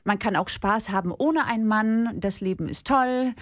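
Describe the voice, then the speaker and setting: A woman from Denmark with a Danish accent, chatting as a guest on a radio talk show, lively and quick to laugh, with many small hesitations. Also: a little high. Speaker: guest musician and actress. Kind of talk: radio interview chat.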